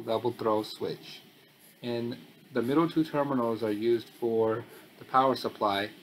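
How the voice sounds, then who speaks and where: A man's voice: mid-range voice, slight background noise, rough recording. Matter-of-fact man explaining a DIY build. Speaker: DIY channel host. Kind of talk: casual DIY voice-over walkthrough.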